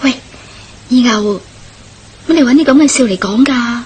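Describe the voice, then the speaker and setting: Nervy, nagging village woman for Hong Kong Cantonese radio horror, female actor: highish voice, impatient, light ambient noise. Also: moderate pace, a little mellow, close to an everyday voice. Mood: uneasy, fretful. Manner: fretful, nagging.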